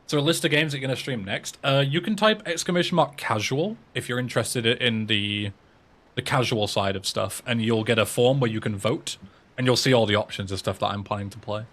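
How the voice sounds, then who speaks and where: A man's voice: medium pitch, a little fast, fairly bright tone. A young man in his twenties with an English accent, chatting casually on a livestream and answering viewers' messages aloud. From England. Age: 20s. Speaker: streamer and speedrunner.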